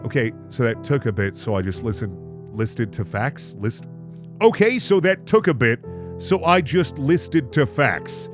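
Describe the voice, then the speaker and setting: A man's voice: mid-range voice, animated, measured pace, faint music behind. A man hosting a comedic reading, switching between mock-dramatic narration and casual, sarcastic commentary. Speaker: host reading and reacting to fan fiction.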